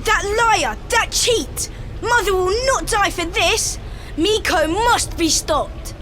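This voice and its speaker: Defiant young boy for a game cutscene: very high, measured, theatrical and accusing. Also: lively intonation, a bit bright, exaggerated voice. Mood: urgent, alarmed. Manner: theatrical, declamatory delivery. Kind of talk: dramatic video game cutscene acting.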